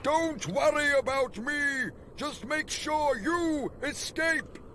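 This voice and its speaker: A man's voice: extremely high pitch, bright, forceful tone, slight background noise, exaggerated voice. An actor playing a pleading, devout old male prisoner in a video game, speaking in a formal, theatrical way. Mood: grave, urgent. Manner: theatrical, formal, drawn-out delivery. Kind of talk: dramatic video game cutscene acting.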